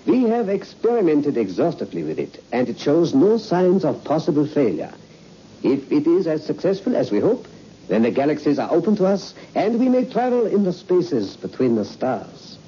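A man's voice: a little high, sing-song, normal speed, warm tone. An actor playing an eager, fussy elderly male scientist in an old radio suspense play. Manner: fussy, repetitive, hesitant then emphatic. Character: excitable elderly male scientist, eager, absent-minded professor. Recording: muffled, noisy old radio recording.

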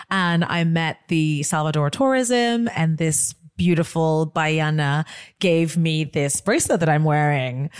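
A woman from Nigeria, a guest on a podcast, telling her stories in an animated, enthusiastic way. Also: fairly low pitch, clean tone, no room sound, studio quality. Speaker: travel guest. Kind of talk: casual podcast interview.

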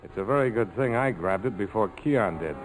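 A cool, cynical adventurer played by a man with a low voice that moves freely in pitch. He is wry, terse and commanding, in vintage radio style. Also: expressive, brisk, over music, close to an everyday voice, rough recording. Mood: cool, taunting. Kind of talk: 1940s radio acting.

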